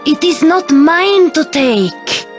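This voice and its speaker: A woman's voice: high-pitched, lively intonation, takes it slowly, over music. A woman playing a dutiful, reluctant royal sister in a dramatic video game cutscene. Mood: protesting, earnest.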